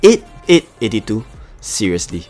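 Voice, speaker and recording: A man with a Singaporean accent and a fairly low voice hosts a live gaming stream. He chats casually with his viewers while he plays, with faint music behind him. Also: big pitch swings, moderate pace, a little mellow. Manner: chatty, talks to viewers as he plays. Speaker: livestream host.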